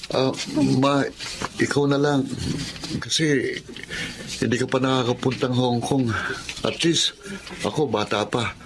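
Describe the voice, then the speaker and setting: A man's voice: medium pitch, fairly even intonation, measured pace, long pauses, noisy background, natural voice. An actor playing a meek, self-doubting Filipino man of twenty-five in a radio drama, speaking hesitantly and apologetically. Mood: deferential. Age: young adult. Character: meek, self-doubting 25-year-old son.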